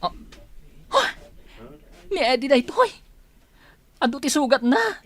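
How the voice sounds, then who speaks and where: A woman's voice: high-pitched, very animated, slow, full character voice. A woman acting a worried, fretting young friend in a radio drama, with an Ilocano Filipino accent. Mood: distressed.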